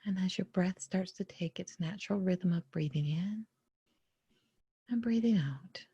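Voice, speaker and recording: A woman leading a guided meditation in a soft, breathy, often near-whispered voice, gently and without hurry, pausing between short phrases. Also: lowish voice, no room sound, dull audio. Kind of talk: guided meditation, soothing spoken instruction.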